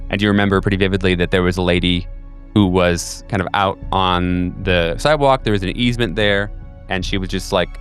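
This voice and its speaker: Male game master, low voice, rambling delivery, faint music bed. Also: a bit bright. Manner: rambling, lots of fillers and restarts. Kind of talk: narrating a tabletop game.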